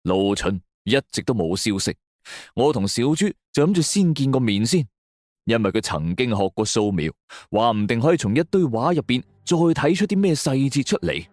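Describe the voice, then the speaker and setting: A man's voice: medium pitch, animated, normal speed, a little mellow, no room sound, clean studio sound. A man narrating a scripted suspense story in a dramatic way. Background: background music.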